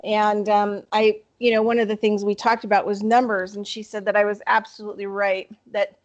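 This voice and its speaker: A woman's voice: mid-range voice, little pitch movement, brisk, highs cut off. A woman hosting a group video call, chatting casually and rambling with many fillers. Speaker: host.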